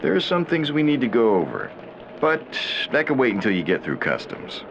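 A teasing gravelly handler. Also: male voice, medium pitch, wildly swooping pitch, normal speed, muffled recording, noisy background. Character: smooth male handler on the phone, teasing. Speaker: game character. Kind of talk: video game cutscene acting.

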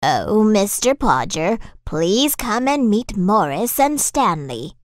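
A woman playing a kindly, prim older village mother, polite and a little sceptical, in an animated children's story. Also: mid-range voice, slow pace, dry recording, studio quality. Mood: polite, brisk.

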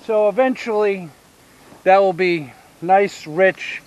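A man in his fifties with a Southern US accent, giving a casual outdoor tour of his homestead and explaining each step with pauses. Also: high voice, darkish tone, muffled recording. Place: outdoors on rural land by a pine forest. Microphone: action camera held at arm's length.

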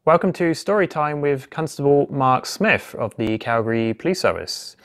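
A man reads a story aloud to young children in simple, expressive narration, posing questions and exclaiming as he goes. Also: medium pitch, moderate pace, smooth. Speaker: police constable reading a children's story. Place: small indoor office or home room.

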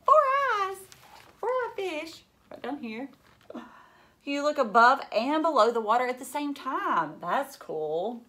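A woman in her thirties reading a children's book aloud like a teacher, with expressive asides and questions to young listeners. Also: sits high, a little slow. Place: indoors, living room at home.

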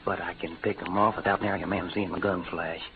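Folksy cowboy sidekick, tinny radio. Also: male voice, sits low, steady tone, quick pace. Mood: cocky, amused. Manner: folksy drawling frontier slang. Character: Brazos, cocky, folksy cowboy sidekick and gunman. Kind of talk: 1940s-50s western radio acting. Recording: noisy, narrow-band old radio recording.

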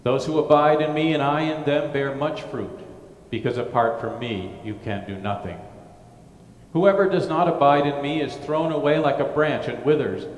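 A man's voice: on the high side, normal speed. A middle-aged male pastor giving a gospel reading and sermon in a brick church, chatty and informal, with asides and restarts. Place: brick-walled church sanctuary. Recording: echoey church with long reverb.

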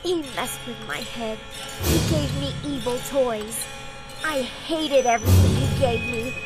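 A woman's voice: a little high, unhurried, lightly acted. A woman playing a spoiled, frightened, spiteful young girl, melodramatic and whiny, in radio horror style. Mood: spiteful, furious. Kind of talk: old-time radio horror acting. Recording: loud music under the voice.

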